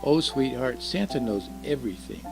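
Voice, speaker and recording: Man as a kindly old Christmas gift-bringer, gravelly creak, lively intonation, genial, reassuring, storybook telling. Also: mid-range voice, normal speed, over music. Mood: genial, knowing.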